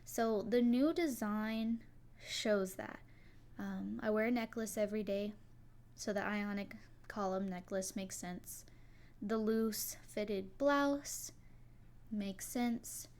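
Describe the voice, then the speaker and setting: Soft-spoken young woman, rambling chat. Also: medium pitch, slow. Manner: rambling, many likes and restarts. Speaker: vtuber talking about her avatar design. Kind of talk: casual solo talk to viewers.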